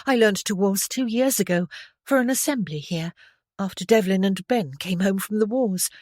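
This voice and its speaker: A woman's voice: medium pitch, normal speed, darkish tone, no room sound, studio quality, voice pushed up, natural voice. A woman reading an audiobook, voicing an eager, chatty teenage noblewoman in a girlish, breathless way. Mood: flustered. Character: spirited young noblewoman, seventeen, impulsive and chatty. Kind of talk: audiobook character voice.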